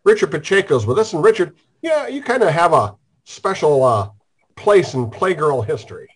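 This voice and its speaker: A man's voice: on the high side, big pitch swings, moderate pace, slightly rough. A man hosting a casual online talk show while stuffed up with a cold, joking and rambling through his stories. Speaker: host.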